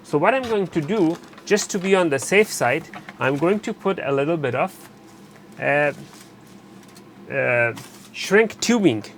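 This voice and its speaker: A man narrating a hands-on repair tutorial while he works, pausing and correcting himself as he goes. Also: on the high side, expressive, a little hiss or hum. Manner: unscripted, pauses and self-corrects while working. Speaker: electronics repair instructor.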